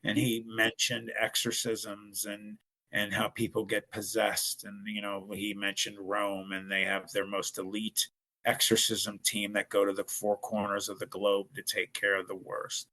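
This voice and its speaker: This is a podcast guest, a man from Ohio, telling his story in an earnest, rambling way. His voice sits low with a strong gravelly fry. The tone is bright and forward. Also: unhurried, poor audio. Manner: earnest, rambling, with frequent restarts. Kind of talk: casual storytelling.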